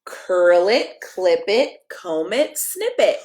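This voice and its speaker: A woman's voice: fairly low pitch, big pitch swings, takes it slowly, a little mellow. A middle-aged American woman, a pastor, reading to children in a playful, sing-song way and chatting directly with them. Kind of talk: children's story time, expressive read-aloud and casual chat.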